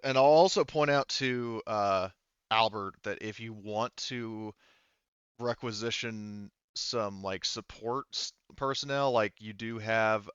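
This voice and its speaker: A man's voice: fairly low pitch, fairly bright tone, clean tone, no room sound, highs cut off. A man running a tabletop roleplaying game as game master, explaining and narrating in a hesitant, rambling way.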